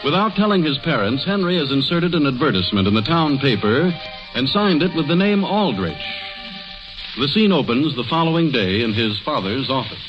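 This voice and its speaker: A man's voice: medium pitch, very animated, normal speed, over music. A man narrating a scripted 1940s radio show as its announcer. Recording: muffled old radio recording.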